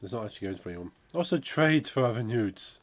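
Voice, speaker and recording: A man, croaky from a cold, chatting casually on a game dev stream and reading out chat. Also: medium pitch, lively intonation, fast, no echo. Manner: rambling, chatty, reads out chat messages. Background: funky music playing.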